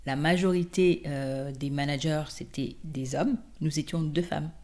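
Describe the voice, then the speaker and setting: A middle-aged woman from the Democratic Republic of the Congo telling her own story conversationally, animated and often searching for her words. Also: sits low, measured pace. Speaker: interview guest, independent export consultant. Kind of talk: personal testimony, conversational storytelling.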